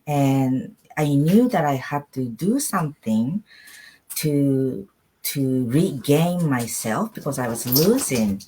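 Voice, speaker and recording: A middle-aged woman from Japan speaks English as an in a low-pitched voice. She is an interview guest sharing her own story in a conversational way, repeating words for emphasis. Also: a little mellow, breathy edge. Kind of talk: personal storytelling in an online interview.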